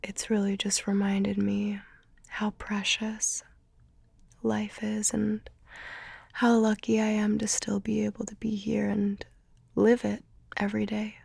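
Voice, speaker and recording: A young woman whispering softly in an ASMR roleplay, gentle and tender, a little tearful. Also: mid-range voice, monotone, takes it slowly, vocal fry, pauses often, breathy edge, dry recording. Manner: soft whispering.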